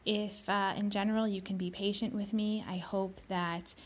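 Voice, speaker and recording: A woman hosting her own podcast, speaking slowly and deliberately, pronouncing each word carefully, sometimes dropping to a soft whisper. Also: mid-range voice, little pitch movement. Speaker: podcast host. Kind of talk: slow, careful spoken podcast introduction.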